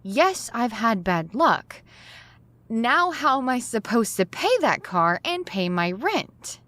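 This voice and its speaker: A woman's voice: on the high side, very animated, slow, a bit bright, dry recording, full character voice. An actress playing a flustered, harried woman in an audio drama, speaking expressively. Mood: hurt, angry. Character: flustered, harried talk show woman, often late.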